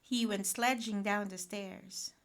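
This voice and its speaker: Very slow, gentle woman storyteller. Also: medium pitch, fairly even intonation. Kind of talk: bedtime picture-book narration.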